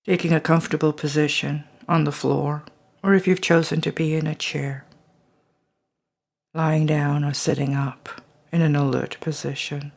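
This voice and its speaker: A calm meditation guide talks through a body scan in an unhurried, gentle way. Phrases fade softly, sometimes into a near whisper. The pitch sits at a middling level. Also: gravelly. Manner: slow, soft and calm, trailing into whispers at phrase ends.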